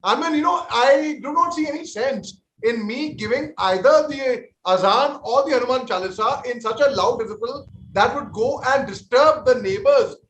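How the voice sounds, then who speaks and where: Indian male panelist, 40s, high-pitched, sincere and peacemaking, echoey room. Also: moderate pace, a bit bright, slight background noise. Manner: earnest, conciliatory, often repeats and restarts words. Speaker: army veteran panelist on a TV debate. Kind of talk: televised panel debate.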